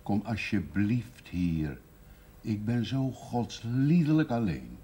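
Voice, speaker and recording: An actor playing a lonely, blunt man in his seventies in a naturalistic television drama, with a husky voice. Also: fairly low pitch, very animated, slow, dark tone, heavily acted. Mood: lonely, pleading. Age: older.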